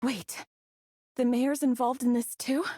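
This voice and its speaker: Townswoman for a video game: high-pitched, emotional, halting, dry close sound. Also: steady tone, mellow, no room sound. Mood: taken aback. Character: shocked, hurt townswoman.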